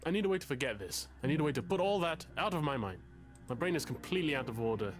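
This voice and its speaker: An actor playing an anxious, bookish, self-pitying man in a game, talking in a wordy, halting, confessional way. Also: a little high, sing-song, soft background music. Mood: anguished, self-pitying. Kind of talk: confessional dramatic game dialogue.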